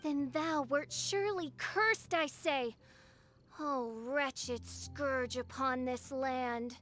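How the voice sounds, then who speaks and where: A woman voicing a grandiose teenage girl who acts the imperious dark princess in a video game, with theatrical archaic diction. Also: high-pitched, takes it slowly, slight background noise. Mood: haughty, solemn. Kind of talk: video game character acting.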